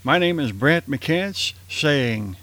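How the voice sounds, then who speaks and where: A man's voice: on the high side, lively intonation, takes it slowly, a bit bright. A man announcing as the host of a radio drama show, reading credits and sign-offs.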